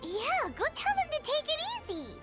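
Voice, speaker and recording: Casting: very high, squeaky childlike fairy sidekick, cheeky and chirpy, animated swoops, game dialogue over music. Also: female voice, moderate pace, exaggerated voice. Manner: squeaky, chirpy, speaks of herself in third person. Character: tiny chatty floating fairy companion, childlike and cheeky. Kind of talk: video game voice acting. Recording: music behind the voice.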